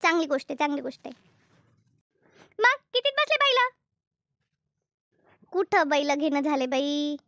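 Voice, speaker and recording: Woman voicing a high-pitched, fussy village neighbour. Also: animated, a little slow, pauses often, bright, forceful tone, dry recording, highs cut off, heavily acted. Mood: chatty. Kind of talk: amateur cartoon comedy dub.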